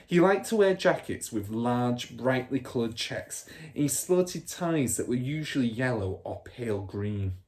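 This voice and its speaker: A man narrating a children's storybook aloud in the expressive style of a primary school teacher. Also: mid-range voice, measured pace, hardly any pauses.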